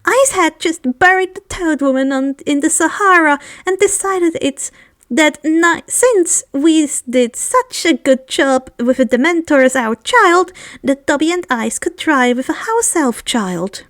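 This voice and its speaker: A woman's voice: high voice, lively intonation, measured pace, a bit bright, pitched above the performer's own voice. A woman voicing a small female house elf, bossy and affectionate, who talks in broken third-person grammar in a storybook reading. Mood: chatty, eager.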